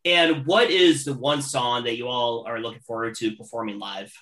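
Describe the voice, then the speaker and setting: A man's voice: medium pitch, fairly even intonation, moderate pace, fairly bright tone. A man in his late thirties interviewing a band, chatty and enthusiastic. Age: 30s. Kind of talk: casual interview.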